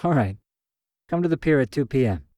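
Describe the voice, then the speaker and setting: A man voicing a friendly, lively young woman who talks in a chatty, slightly hurried way. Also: mid-range voice, no room sound, clean studio sound, pitched above the performer's own voice, natural voice. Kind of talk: audio drama short story reading.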